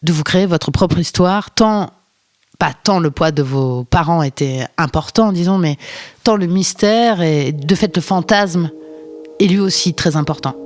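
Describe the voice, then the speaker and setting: An interviewer lays out long, carefully measured questions in a formal tone. The voice sits in a middle range, with a dry, close sound. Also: medium pitch, dry recording.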